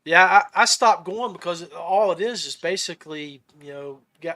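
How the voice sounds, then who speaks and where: Man, podcast host, slightly high-pitched voice, casual interview chat, halting delivery with repeated phrases. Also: lively intonation, crowd noise.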